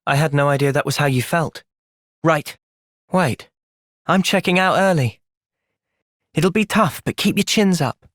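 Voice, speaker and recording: A man playing a gentle, thoughtful young soldier hero in a video game, earnest and reflective in manner. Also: on the high side, unhurried, long pauses, no room sound, clean studio sound. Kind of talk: video game cutscene voice acting.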